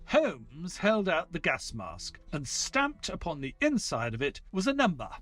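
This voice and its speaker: A man plays a gentlemanly doctor recounting a case in an audio drama. His voice sits fairly high and swoops widely, yet his phrasing stays formal and measured. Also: huge pitch swings, slightly rough, soft background music, full character voice. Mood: dramatic narration. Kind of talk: dramatic scripted storytelling in an audio play.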